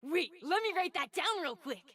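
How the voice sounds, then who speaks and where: A woman's voice: very high-pitched, animated, moderate pace, a bit bright. A woman voicing an eager, kind, playful young boy adventurer in a video game, with an innocent, exclamatory manner. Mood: eager, proud. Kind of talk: video game character voicelines.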